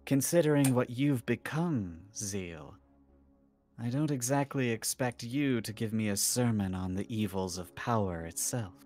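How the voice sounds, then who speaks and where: A man playing a tabletop role-playing game, speaking with measured, rather formal wording. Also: medium pitch, animated, a little mellow, faint music behind. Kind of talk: in-character roleplay and table chat.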